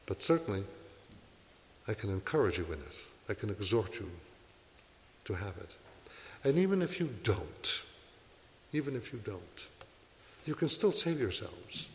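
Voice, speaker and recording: Priest, male, low voice, earnest exhorting sermon, long hall reverb with faint hiss. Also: big pitch swings, unhurried, pauses often. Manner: earnest, exhorting, asks rhetorical questions. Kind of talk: sermon to a congregation.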